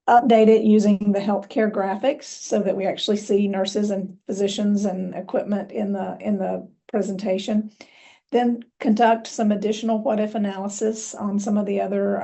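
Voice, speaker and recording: A woman giving a prepared presentation as a healthcare executive, measured and punctuated by ums and uhs. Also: mid-range voice, creaky, warm tone.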